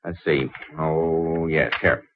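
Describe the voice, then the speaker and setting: A man's voice: a bass voice, steady tone, slow pace, slight background noise. A man acting in an old-time radio drama as an eager, awkward inventor, hesitant and stammering but earnest and persistent. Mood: earnest, friendly. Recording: muffled, tinny old-time radio recording.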